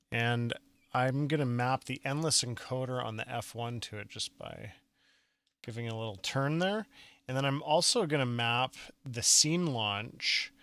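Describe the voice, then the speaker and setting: Relaxed man walking through a tutorial. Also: mid-range voice, clear, resonant tone, no echo. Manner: relaxed, explains step by step, checks in with "right?". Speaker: musician demonstrating music software. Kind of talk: casual screen-recorded software tutorial.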